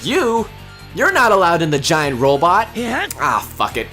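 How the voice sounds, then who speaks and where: A woman doing a comedic parody dub, sarcastic and dramatic, swinging from deadpan mutters to frantic shouts. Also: on the low side, a little slow. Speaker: parody voice-over creator. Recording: voice over loud background music.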